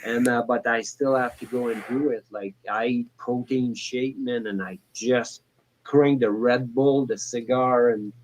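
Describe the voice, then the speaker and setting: A man in his forties calling in to a talk show, speaking in a halting way with many restarts and fillers. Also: medium pitch, fairly even intonation, slight background noise, rough recording. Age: 40s. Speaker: caller. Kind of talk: casual call-in chat.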